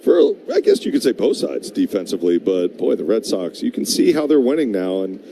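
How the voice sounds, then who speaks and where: A male baseball color commentator with a lowish, very dark and soft-edged voice. He analyzes the game conversationally, with slight noise in the background. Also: sing-song, fairly quick.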